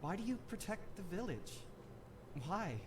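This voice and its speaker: An actor playing an earnest, hesitant young village boy in an animated fairy tale. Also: high voice, unhurried. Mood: earnest, curious. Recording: noisy ambience behind the voice.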